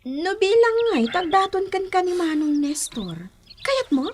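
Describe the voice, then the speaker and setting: A woman's voice: very high-pitched, very animated, a little slow, full character voice. An actress playing a playful, prying young woman in a Filipino radio drama, with an Ilocano accent and a coaxing manner. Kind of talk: Philippine radio drama acting. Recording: noisy old radio drama recording.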